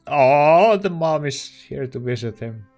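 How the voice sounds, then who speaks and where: A woman giving casual, expressive let's play commentary, reacting aloud to a game as she plays. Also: low-pitched, slow pace, faint music behind.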